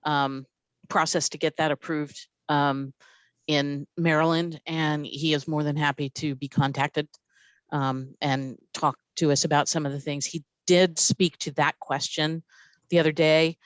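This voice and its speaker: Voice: mid-range voice, flat delivery, measured pace, smooth, no echo. Give me a presenter at a committee meeting, chatting informally over a video call and leaning on plenty of fillers. The voice sits mid-range with a dry, close sound and no room around it. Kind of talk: meeting discussion.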